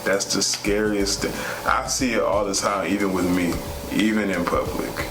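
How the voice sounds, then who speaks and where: A man's voice: low-pitched, fairly even intonation, moderate pace, background noise. A man co-hosting a vlog, giving candid, conversational commentary in an earnest, plain-spoken way. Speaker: vlog co-host. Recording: roomy echo, music underneath.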